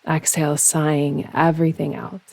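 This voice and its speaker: A woman guiding a yoga nidra meditation in slow, soothing instruction, with measured, unhurried phrasing and pauses. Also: deep voice, little pitch movement, creaky, darkish tone, dry recording.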